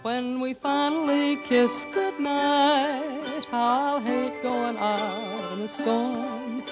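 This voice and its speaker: A woman singing a 1940s popular song in a smooth, slow croon. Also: sits high, steady tone, over loud music. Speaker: singer. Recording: muffled 1940s radio broadcast. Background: band accompaniment.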